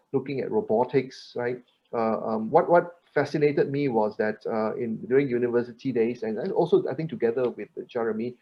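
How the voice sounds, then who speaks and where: A man hosting a panel webinar, speaking haltingly with many fillers, repeated words and tag questions. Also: mid-range voice, lo-fi sound. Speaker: webinar moderator.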